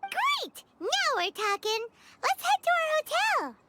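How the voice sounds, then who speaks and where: A woman voicing a tiny, chirpy, childlike fairy companion in a video game, bossy and bubbly, with a squeaky voice. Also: very animated, a little slow, very noisy, exaggerated voice. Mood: cheerful. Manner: bubbly, exclamatory, refers to self in third person. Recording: studio voice under loud game music.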